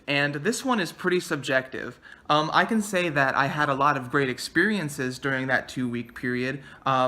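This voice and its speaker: A man's voice: mid-range voice, pushed and bright. A man in his twenties talking casually to camera from a small bedroom, earnest and thinking aloud with frequent ums. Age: 20s. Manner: gestures a lot with his hands. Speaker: vlogger.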